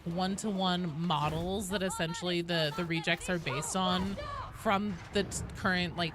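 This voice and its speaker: A woman's voice: mid-range voice, noisy background. A woman livestreaming a game, chatting casually in a rambling, sweary, self-deprecating way. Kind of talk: casual gaming stream chat and commentary.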